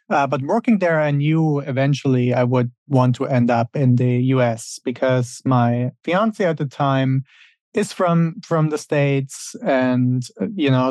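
A man's voice: medium pitch, darkish tone, no room sound. A man from Germany, in his thirties, talking through his answers as a podcast guest. He speaks in a mid-range voice and often stops to restart a phrase or add an "um". The sound is dry and close, with no room around it. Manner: thoughtful, many fillers and restarts. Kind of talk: casual interview answers.

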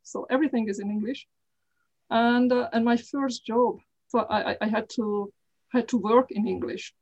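A middle-aged woman with a Hungarian accent and a somewhat high voice. She answers interview questions in careful English, pausing and restarting as she searches for words. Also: steady tone, darkish tone, muffled recording. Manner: careful, halting, frequent restarts. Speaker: guest. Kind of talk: casual interview talk.

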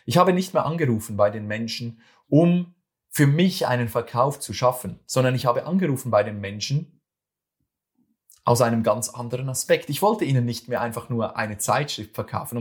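A man with a Swiss German accent speaks in an earnest, motivational way, addressing the listener directly. He restarts and repeats phrases as he talks, and his pitch sits in the middle range for a man. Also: expressive, normal speed. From Switzerland. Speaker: speaker giving communication advice. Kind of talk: motivational monologue.

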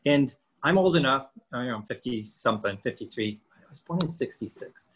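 A man's voice: medium pitch, expressive, a little fast, a bit bright, breathy edge, low-quality recording. A man in his fifties co-hosting a casual podcast, explaining training and nutrition with lots of rhetorical questions. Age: 50s.

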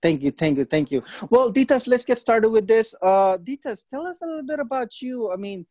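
Mellow-voiced host of Filipino origin. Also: male voice, very high-pitched, lively intonation, no room sound, clean studio sound. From Philippines. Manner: friendly, halting, many fillers. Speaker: podcast host. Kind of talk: casual interview hosting.

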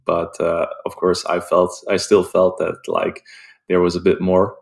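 A young man from Belgium, a sales rep, calmly answering interview questions with frequent ums and uhs. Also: deep voice, unhurried, gravelly. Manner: calm, hesitant with frequent ums. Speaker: guest, sales development rep.

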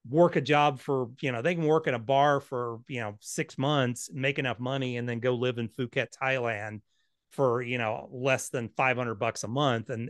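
A man's voice: medium pitch, dry recording, clean studio sound. A man in his fifties, an author and financial planner, answering interview questions in a blunt, candid, self-deprecating way. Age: 50s.

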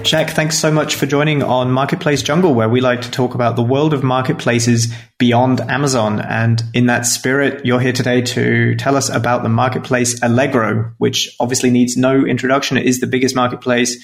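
A man's voice: mid-range voice, little pitch movement, moderate pace, hardly any pauses. A man hosting a podcast interview, reading an intro and then asking casual questions. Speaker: podcast host.

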